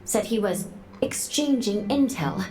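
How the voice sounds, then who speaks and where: An actress playing a chatty, cheeky young knight trainee in a video game. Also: female voice, medium pitch, lively intonation, measured pace, music in the background, natural voice, low-quality recording. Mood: teasing.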